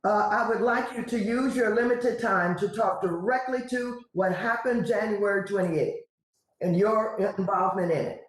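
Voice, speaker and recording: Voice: medium pitch, moderate pace, echoey room, rough recording. A person chairing a hearing, firm and procedural in manner, calling on witnesses and keeping order. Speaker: committee chair. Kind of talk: presiding over a hearing.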